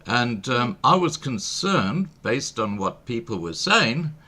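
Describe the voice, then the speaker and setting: Bright, animated male talk show host. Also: mid-range voice, slow. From East Africa and South Africa. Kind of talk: conversational live interview.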